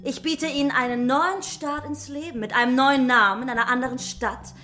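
Children's radio drama: condescending businesswoman villain, high register, smugly persuasive. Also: fairly even intonation, normal speed, sharp tone, faint music behind. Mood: smooth, persuasive. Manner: smug, haughty. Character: haughty, scheming businesswoman villain, coaxing and condescending. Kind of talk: children's radio play acting.

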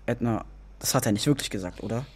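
A woman's voice: rumbling low, fast talker, darkish tone, breathy edge. A female performer playing a sulky but reasonable older sister who grumbles and protests in a radio play. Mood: protesting.

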